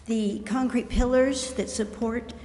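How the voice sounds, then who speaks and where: A woman's voice: a little high, fairly even intonation, a little slow, warm tone. An older American woman giving informal, plain-spoken public comment at a meeting in a conversational way. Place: indoor council chamber. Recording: roomy, echoing council chamber with faint noise.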